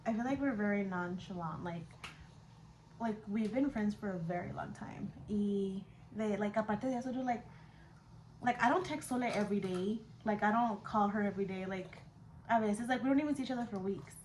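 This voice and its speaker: A woman's voice: mid-range voice, a little fast, darkish tone, slight background noise, low-quality recording. A young woman in a casual chat with a friend, swearing easily and mixing English with Spanish. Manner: code-switches between English and Spanish. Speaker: vlogger chatting with her best friend.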